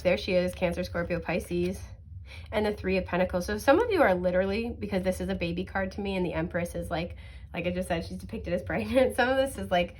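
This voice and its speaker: A sniffly woman reading tarot cards in a chatty, reassuring way, with many fillers and soft laughs. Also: medium pitch. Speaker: tarot card reader. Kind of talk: casual pick-a-card tarot reading.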